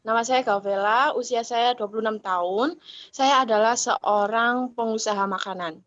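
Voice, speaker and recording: A woman's voice: fairly high pitch, moderate pace, fairly bright tone, no echo, muffled recording, close to an everyday voice. A woman playing a 26-year-old food entrepreneur who eagerly asks to introduce herself first. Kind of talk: student counseling roleplay.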